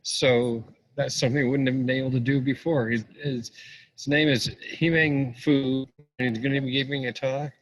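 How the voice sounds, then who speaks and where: A man's voice: mid-range voice, fairly even intonation, moderate pace, low-quality recording. Man opening a video meetup. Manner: friendly, rambling with fillers. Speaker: meetup organizer. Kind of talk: opening remarks on a video call. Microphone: video call.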